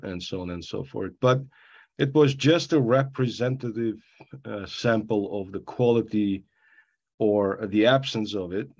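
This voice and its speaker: A middle-aged man from Armenia giving a panel talk, halting with many fillers and restarts, with dry asides. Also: lowish voice, a little mellow, muffled recording. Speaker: panel guest speaker.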